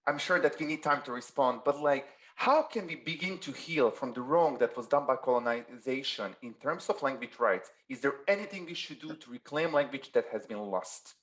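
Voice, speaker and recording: A man's voice: medium pitch, lively intonation, normal speed, few pauses, fairly bright tone. A man moderating an event, relaying audience questions and keeping the session moving.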